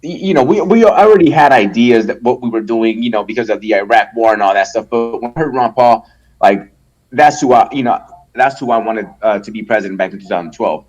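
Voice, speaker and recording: A man from El Salvador, a guest in a casual interview, talking haltingly with many restarts and fillers and swearing freely. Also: medium pitch, a little mellow, rough recording. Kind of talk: casual conversation.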